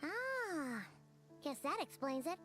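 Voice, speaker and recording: A woman voicing a small, childlike fairy companion in a video game, squeaky and inquisitive. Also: sing-song, takes it slowly, pushed and bright, heavily acted. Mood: puzzled. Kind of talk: scripted video game voice acting. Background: game music.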